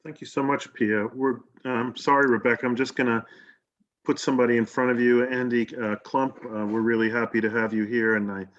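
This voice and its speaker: A man moderating a webinar panel over a video call, handing the floor over with quick apologetic remarks. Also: mid-range voice, level tone, fairly bright tone. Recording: dull lo-fi call audio.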